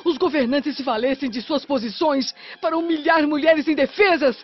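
A woman's voice: high voice, normal speed, close to an everyday voice. An actress playing a proud, formal Roman wife and lady of the house in a radio drama, eloquent and declamatory. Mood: indignant, reproachful. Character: indignant, dignified married Roman noblewoman defending her honour. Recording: muffled radio drama with faint music.

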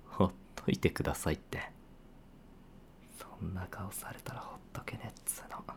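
A young man acting as a playful senior coworker who teases in a casual, offhand way with hushed asides. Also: sits low, fast talker, vocal fry, a little hiss or hum. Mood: teasing. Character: teasing male senior coworker, playful, secretly fond. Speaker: voice actor. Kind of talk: situation voice drama.

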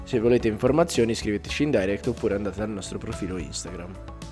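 Brisk male host reading announcements, soft music underneath. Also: lowish voice. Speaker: podcast host. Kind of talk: podcast intro and announcements. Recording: faint music behind.

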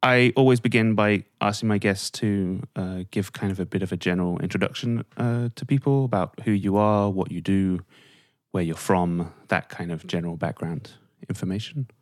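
A man hosting an interview show, introducing his guest and asking relaxed, thoughtful opening questions with a few fillers. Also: low voice, normal speed, dry recording, studio quality. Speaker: podcast host. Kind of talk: interview host.

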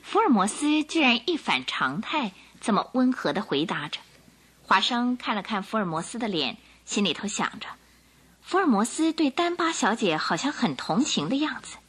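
A woman narrating a radio drama as a scripted story, in a dramatic, expressive storytelling manner. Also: fairly high pitch, normal speed, breathy edge.

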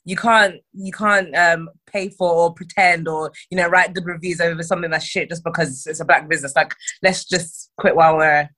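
A woman's voice: on the low side, steady tone, normal speed, few pauses, sharp tone, rough recording. Frank woman in a friends' chat, teasing, swears casually.

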